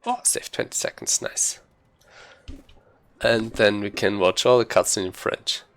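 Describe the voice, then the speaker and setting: A man's voice: fairly low pitch, fairly even intonation, unhurried. A man giving casual live commentary as he streams a speedrun, informally thinking aloud while he plays.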